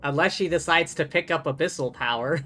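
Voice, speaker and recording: A male game streamer with a bright, forward voice pitched a little high. He moves between reading game lines aloud and wry commentary, with soft game music underneath. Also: brisk. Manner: wry, sweary asides. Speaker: game livestreamer.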